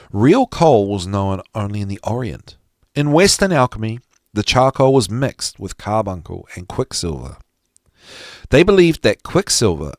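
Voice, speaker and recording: Male reader for lecture-style material, slightly low voice, highly animated intonation, deliberate and emphatic. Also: no room sound, studio quality. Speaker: podcast host reading aloud from a psychology text. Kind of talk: expressive reading of a psychology lecture.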